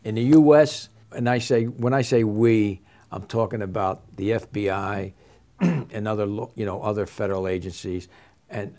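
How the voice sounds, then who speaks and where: An older man, a former undercover agent, talking plainly and low-key as an interview guest, often repeating words as he starts. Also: darkish tone. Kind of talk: casual interview talk.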